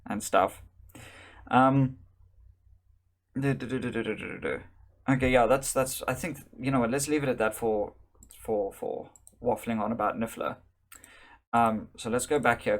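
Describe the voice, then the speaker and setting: A man from South Africa doing casual live-stream commentary into a desk microphone, rambling and correcting himself, with wry asides. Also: mid-range voice.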